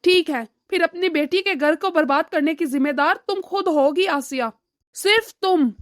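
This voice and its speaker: A woman voicing a weary, moralizing middle-aged father who lectures his family in an animated drama. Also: very high voice, normal speed, no echo, clean studio sound. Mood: resigned warning. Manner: admonishing, lecturing.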